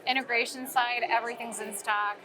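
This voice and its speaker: A woman's voice: fairly high pitch, fairly even intonation, a little fast, low-quality recording. A woman giving an informal, conversational product explanation as an interview guest. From St. Louis, United States. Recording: crowd chatter at busy event. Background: crowd at a sales meeting.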